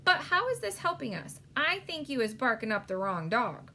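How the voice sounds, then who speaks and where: A big friendly giant from a storybook as a woman reads him aloud, good-natured and easily puzzled. He speaks in quaint, mangled grammar, in a fairly high voice for such a huge fellow. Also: very animated, normal speed, sharp tone, a little hiss or hum, heavily acted. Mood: doubtful.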